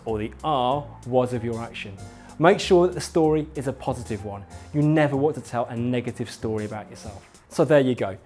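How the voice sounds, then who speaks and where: A man's voice: medium pitch, darkish tone. A man presenting a scripted lesson as an instructor, talking directly to the listener in an emphatic, encouraging, explanatory way. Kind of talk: scripted instructional narration addressed to viewer.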